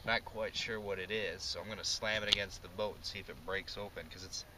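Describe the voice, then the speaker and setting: A man's voice: fairly low pitch, steady tone, brisk, far from the mic, heavy background noise. A young man chatting casually in a slangy, informal way, recorded outdoors in a canoe by a friend's camera. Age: young adult. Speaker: paddler, companion in the vlog. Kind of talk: casual chat. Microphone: camera held by companion.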